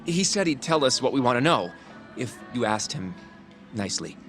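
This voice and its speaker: A man's voice: mid-range voice, very animated, moderate pace, a bit bright, faint music behind. A man acting as an earnest, polite young lawyer colleague in a video game drama, articulate and insistent. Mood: earnest, coaxing.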